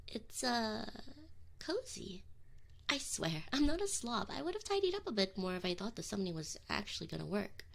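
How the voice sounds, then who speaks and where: A woman's voice: mid-range voice, gravelly. A woman voicing a teasing, flustered but affectionate succubus in an intimate ASMR roleplay, close to the microphone with whispered asides. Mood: flustered, bossy. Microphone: close binaural microphone.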